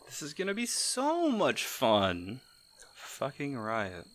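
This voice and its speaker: Cocky male heist-crew wisecracker, played by a man: bright voice, swooping pitch, teasing and theatrical, audio drama style. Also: huge pitch swings, slow, slight background noise, exaggerated voice. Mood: playful sarcasm. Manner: theatrical, teasing, exaggerated delivery.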